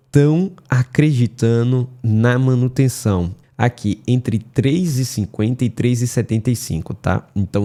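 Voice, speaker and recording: A man with a Brazilian Portuguese accent, hoarse from a cold, talking casually through a weekly market analysis and checking in with viewers often. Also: lowish voice, dark tone. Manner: casual, addresses viewers often with filler tags.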